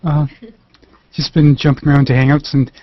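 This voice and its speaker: A man's voice: fairly high pitch, little pitch movement, moderate pace, dry recording. A man chatting casually as a drop-in guest on a video call. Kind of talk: casual chat. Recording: dull lo-fi video call audio.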